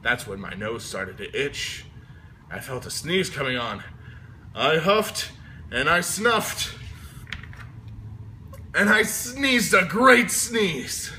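Guy doing a folksy wronged wolf from a kids book, highish voice, mock innocent, noisy audio. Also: expressive, takes it slowly, long pauses, pushed and bright, heavily acted. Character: chatty, aggrieved male storybook wolf pleading innocence. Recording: noisy amateur phone video recording.

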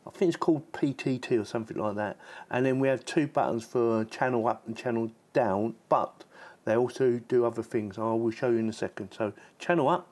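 A man's voice: mid-range voice, fairly quick, a little mellow. A man giving a casual, chatty hands-on product demonstration, informal and given to repeating himself. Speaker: product reviewer.

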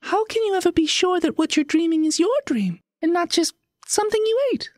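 A woman's voice: extremely high pitch, brisk, a little mellow, dry recording, clean studio sound. A woman voicing a bookish, inquisitive little girl in an audio story, with formal, pedantic, articulate speech. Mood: probing, earnest. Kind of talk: acted audio story dialogue.

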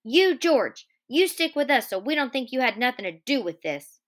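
A woman's voice: high voice, very animated, moderate pace, voice pushed up. A woman voicing a hot-tempered, vengeful young ranch man who barks threats and orders. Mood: angry. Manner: shouting threats, raised and forceful.

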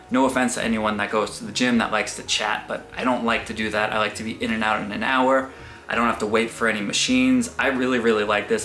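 A man's voice: fairly low pitch, sharp tone. A man in his thirties giving a casual tour of his small basement home gym, explaining his gear in a chatty way. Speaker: fitness vlogger. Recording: echoey basement room.

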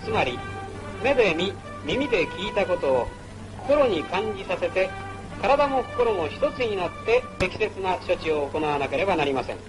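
A man's voice: high-pitched, big pitch swings, moderate pace, poor audio. A man narrating a wartime military training film in a formal, instructional manner, on an old film soundtrack. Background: music.

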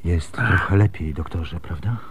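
An actor playing an anxious, devoted husband and father in a radio drama, earnest and halting. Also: low voice, noisy background. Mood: anxious, subdued.

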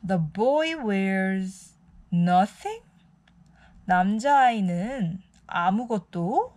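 Woman with lively storytime intonation. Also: mid-range voice, normal speed. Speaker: children's storytime host. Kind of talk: picture book read-aloud for young children.

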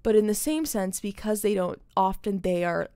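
A woman's voice: medium pitch, moderate pace, dark tone, clear, resonant tone, dry recording, studio quality. A young adult woman giving casual, opinionated commentary as a gaming streamer, speaking earnestly and emphatically with some swearing. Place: indoor streaming setup.

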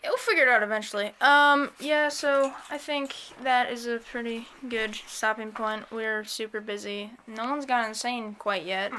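Young woman, lisp, bright and forceful tone, slightly high voice, rambling casual chat, small bedroom, faint background noise. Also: fairly even intonation, normal speed, hardly any pauses. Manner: chatty, rambling. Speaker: vlogger.

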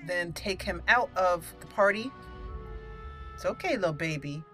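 A woman's voice: on the low side, animated, a little slow, music in the background. A woman hosting a gaming channel, giving casual, playful let's play commentary and talking to the characters. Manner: playful, talks to game characters.

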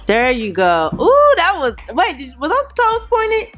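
A woman's voice: extremely high pitch, huge pitch swings, measured pace, faint music behind. A woman filming her children at home, encouraging and lightly teasing them in casual chat from behind the camera. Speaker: parent filming her kids. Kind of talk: casual family vlog chat. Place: large open living room indoors.

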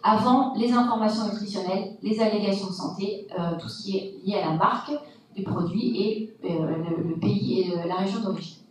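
A woman's voice: medium pitch, moderate pace, distant mic, slight background noise. A woman from Brittany, a food science lecturer, gives a public science talk, explaining step by step with frequent hesitations. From Brittany, France. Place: large lecture hall. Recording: echoey lecture hall, from afar.

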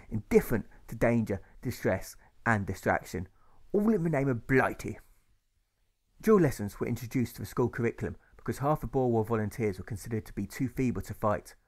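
A man narrating his own rhyming novel, reading with a rhythmic lilt that stresses the rhymes. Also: fairly low pitch.

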